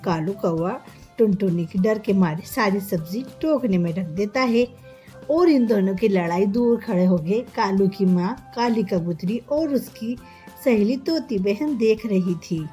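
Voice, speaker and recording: Casting: female narrator for kids' cartoon tales, unhurried, soft dark tone, faint music bed. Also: mid-range voice. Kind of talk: scripted children's storytelling narration.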